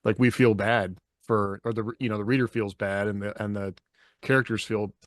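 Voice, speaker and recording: Male co-host, slightly low register, dry recording, opinionated and conversational, rambling but emphatic. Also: fairly even intonation, fairly quick, no echo. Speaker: podcast co-host. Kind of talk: casual opinionated discussion.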